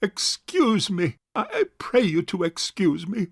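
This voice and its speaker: Man acting a slow, pompous, fussy rich uncle. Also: high voice, big pitch swings, creaky, no room sound, clean studio sound, full character voice. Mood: dry, reluctant. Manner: formal, measured, pompous. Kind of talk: stage farce audio drama acting.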